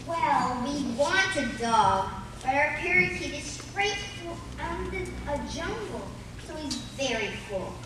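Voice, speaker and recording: Curious young girl played by a woman for radio play: very high voice, slow delivery, captured far off in echoey hall. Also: sing-song, heavily acted. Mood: chatty. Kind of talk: live radio play acting. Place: live stage in arts center. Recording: echoey theatre hall, distant mic, noisy.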